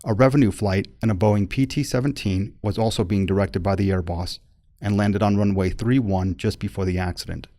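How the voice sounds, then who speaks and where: A man narrating a formal accident report from a script in a measured, impersonal, factual manner, recorded close as a voice-over. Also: on the low side, dark tone, no echo.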